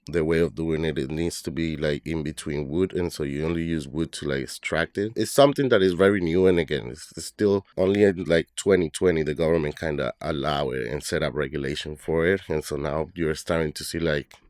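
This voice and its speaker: A man's voice: extremely low pitch, brisk, few pauses, darkish tone, dry recording, studio quality. A man from Colombia, a bartender guest, talking loosely and thinking aloud with many fillers. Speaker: guest, bartender and brand ambassador. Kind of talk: casual interview talk.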